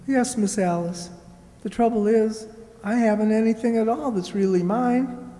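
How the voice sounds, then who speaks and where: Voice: mid-range voice, normal speed, warm tone, natural voice. An actor playing a humble, affectionate young servant boy in a children's radio play. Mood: shy, polite. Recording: reverberant hall recording.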